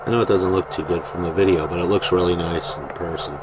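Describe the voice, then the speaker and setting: A man giving a casual, plain-spoken product demonstration as a private seller, in an unhurried, informal way. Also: deep voice. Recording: noisy home video, steady background noise.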